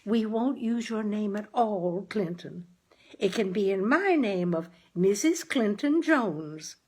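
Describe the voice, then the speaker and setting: A woman's voice: medium pitch, lively intonation, takes it slowly, slightly rough. A woman voicing a persistent, homely mother in a storybook reading, coaxing and rambling in folksy phrases. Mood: reassuring. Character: gentle, persistent, wheedling mother, homely and chatty.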